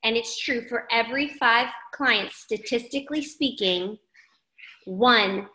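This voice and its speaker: Woman coach, bright voice, upbeat and emphatic, echoey room. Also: on the high side, measured pace. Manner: emphatic, affirming, repeats key phrases. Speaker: team coach leading a training call. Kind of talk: encouraging group training talk.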